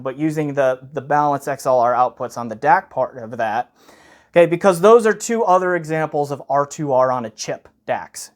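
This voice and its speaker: A man giving an unscripted, explanatory audio gear review, often checking in with "okay" as he goes. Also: on the high side. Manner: explanatory, punctuated with frequent "okay" check-ins.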